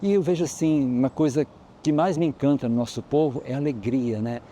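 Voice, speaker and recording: Dark-voiced Brazilian man, halting storyteller. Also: medium pitch, a little hiss or hum. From Brazil, Espírito Santo. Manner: rambling, halting with restarts. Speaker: local historian guide. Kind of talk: on-site interview, explaining history.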